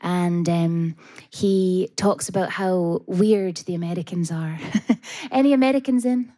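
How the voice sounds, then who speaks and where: A Scottish woman, a folk singer, talking softly with many pauses and fillers about her background. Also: on the low side. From Scotland. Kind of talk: interview and live stage talk.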